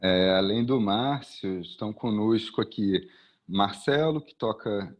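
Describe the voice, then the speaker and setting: Man, Brazilian accent, lowish voice, video call host, halting with fillers. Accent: Brazilian Portuguese. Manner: halting, restarts phrases, many "né" and "ah" fillers. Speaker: philosophy professor hosting an online panel. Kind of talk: casual spoken introduction on a video call.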